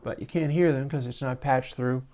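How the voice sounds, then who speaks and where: Man, soft soothing voice, mellow tone, relaxed rambling gear demo, lavalier mic. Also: mid-range voice, normal speed. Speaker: livestream host and audio developer. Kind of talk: casual livestream demo.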